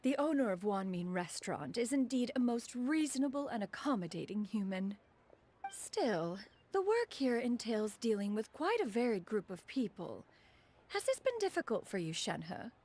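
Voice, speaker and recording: A woman's voice: fairly high pitch, very animated, normal speed, darkish tone. A woman voicing a proud, formal crane spirit and inventor who calls herself "one" and speaks in stiff, old-fashioned phrasing, in a video game. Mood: haughty formal. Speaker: master. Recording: game audio with music behind.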